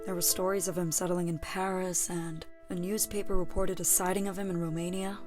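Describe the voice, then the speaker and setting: A solemn, sympathetic female storyteller, acted by a woman in an audio drama. The voice is on the low side and measured, with faint music playing behind it. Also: flat delivery, a little mellow, natural voice. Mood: solemn, reflective. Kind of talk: audio play acting and narration. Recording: music under the voice.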